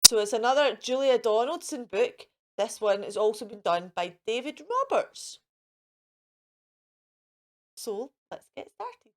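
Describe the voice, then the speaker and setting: Children's storytime host, woman, fairly high voice, very lively sing-song read-aloud. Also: a little slow, long pauses. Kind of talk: animated picture-book reading for children.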